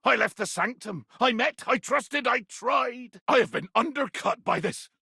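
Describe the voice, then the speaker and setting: An actor playing a tiny, fierce, pompous pirate captain in a video game, with a raspy, gravelly voice and grandiloquent, blustering delivery. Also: male voice, extremely high pitch, expressive, unhurried, pushed and bright, no room sound, clean studio sound, exaggerated voice. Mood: wounded, solemn. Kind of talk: theatrical video game character acting.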